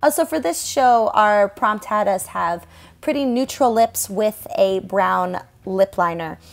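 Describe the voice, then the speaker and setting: A woman's voice: mid-range voice, measured pace, gravelly, soft background music. A young woman talks loosely and chattily as she narrates her own stage makeup and hair routine. Her delivery is casual and self-deprecating, broken by frequent small laughs. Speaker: stage performer and makeup vlogger. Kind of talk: casual tutorial voice-over.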